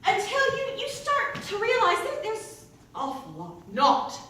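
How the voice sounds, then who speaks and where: Actress as doubting churchgoing mom: wry, rambling stage monologue, swooping intonation, picked up from far off in a reverberant room. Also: female voice, very high voice, wildly swooping pitch, pushed and bright, full character voice. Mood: wry, nostalgic. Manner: rambling, halting, digressive, self-interrupting. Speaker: actor performing a monologue. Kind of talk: theatrical comic monologue in a staged reading. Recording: echoey room, distant mic, faint noise.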